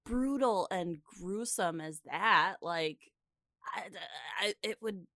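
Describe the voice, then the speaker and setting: A woman's voice: medium pitch, animated, slow pace, fairly bright tone, no room sound, studio quality. A woman co-hosting a podcast, chatting casually and reflectively, leaning on her words for emphasis. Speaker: podcast co-host.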